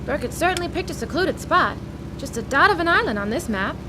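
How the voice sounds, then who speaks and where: A sceptical, curious sidekick in an old-fashioned radio mystery. Her highish voice is animated and quick, with crisp questions over noisy ambience. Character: inquisitive, sceptical female companion of a crime-fighter. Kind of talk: 1940s-style radio drama acting.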